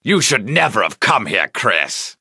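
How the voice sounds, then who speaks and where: A ruthless sneering game villain, sharp gravelly voice, haughty and threatening. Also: male voice, on the low side, big pitch swings, dry recording, studio quality, heavily acted. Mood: menacing. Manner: sneering, measured, menacing. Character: haughty, ruthless male supervillain hunting his enemy. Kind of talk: video game villain acting.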